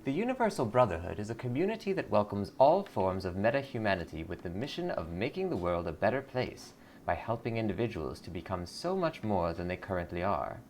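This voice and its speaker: A man's voice: on the low side, sing-song, brisk, hardly any pauses, a little mellow, slight background noise. A man voicing a blissful, eager cult greeter in a video game, sweetly pious and salesy. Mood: welcoming, zealous.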